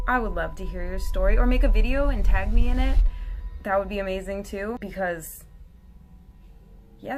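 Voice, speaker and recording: A woman host telling a personal story in a theatrical, playful way, with informal, chatty asides. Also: fairly high pitch. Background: music.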